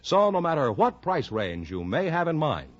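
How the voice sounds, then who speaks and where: A man's voice: a little high, very animated, moderate pace. An American man announcing on an old radio broadcast in a polished, emphatic salesman's style. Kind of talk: 1950s radio commercial and announcing. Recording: muffled 1950s radio broadcast recording.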